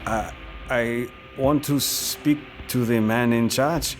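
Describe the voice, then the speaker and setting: An actor playing an ambitious young-blooded captain, hesitant yet determined, in an audio drama. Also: male voice, medium pitch, steady tone, slow, over music, natural voice. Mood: nervous. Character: nervous but ambitious male warlord's nephew, a captain.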